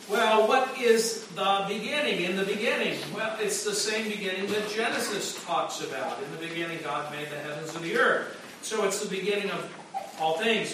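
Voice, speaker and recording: A man's voice: high voice, background noise. A man preaching a sermon as pastor, conversational and full of restarts and fillers, in a church. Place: church sanctuary. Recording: echoey church from a distance.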